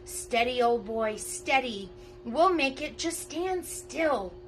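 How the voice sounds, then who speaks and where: A woman voicing a steady, reassuring boy hero in a children's storybook. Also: high voice, takes it slowly, a little hiss or hum, heavily acted, low-quality recording. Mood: calm, reassuring.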